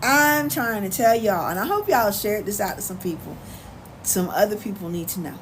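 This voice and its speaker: An American woman chatting casually on a livestream as host, answering viewers in an animated, sassy, colloquial way. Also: mid-range voice, normal speed. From United States. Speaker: live stream host. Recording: clear background noise, ambient sound.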